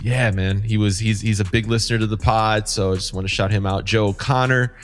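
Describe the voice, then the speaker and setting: A man's voice: lowish voice, fairly even intonation, brisk. A man hosting a podcast in a casual, chatty style. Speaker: podcast host.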